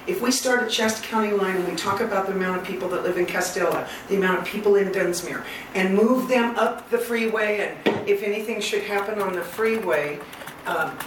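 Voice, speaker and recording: A woman with a loud voice giving a public comment at a meeting, earnest, detailed and persistent. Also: on the low side. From Northern California. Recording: echoey hall, far from mic, noisy.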